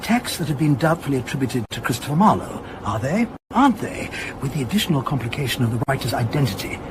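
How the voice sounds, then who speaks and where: A man's voice: medium pitch, fast, background noise, close to an everyday voice. An actor playing a male academic curator in a radio drama, explaining his field with pedantic, self-amused eagerness. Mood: wry, keen. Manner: explanatory, donnish, animated. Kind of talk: naturalistic radio drama acting.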